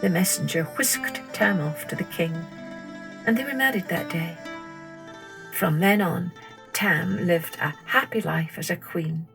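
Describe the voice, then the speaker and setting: Female storyteller, unhurried, expressive, children's tale narration, music in the background. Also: fairly low pitch, creaky, low-quality recording. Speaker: storyteller, podcast host.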